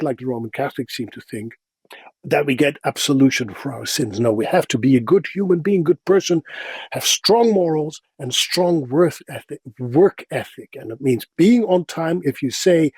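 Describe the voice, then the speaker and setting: A middle-aged man from the Netherlands with a Dutch accent, a Dutch native speaker, telling stories in a casual, expressive interview. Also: fairly high pitch, moderate pace, husky, no room sound. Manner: expressive, animated, self-deprecating humor. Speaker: interview guest. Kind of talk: casual conversational storytelling.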